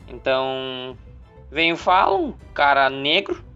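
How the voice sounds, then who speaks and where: Rambling Brazilian podcast co-host. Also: male voice, mid-range voice, sing-song, slow pace, pushed and bright, clear, resonant tone. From southern Brazil. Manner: rambling, many restarts and fillers. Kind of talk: casual podcast chat. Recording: slightly dull podcast audio with faint music.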